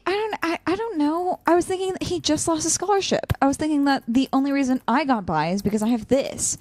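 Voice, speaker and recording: A woman's voice: fairly high pitch, darkish tone, dry recording. A woman playing an earnest, conflicted, loyal young student in a naturalistic audio drama, a little hesitant.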